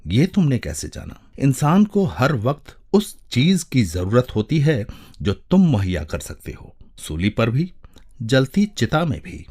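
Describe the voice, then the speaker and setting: A man's voice: medium pitch, wildly swooping pitch, measured pace, a little mellow, breathy edge, full character voice. A man voicing a brooding, indignant customer in a narrated story, wary and probing with terse questions. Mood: challenging. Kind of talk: acted dialogue in story narration.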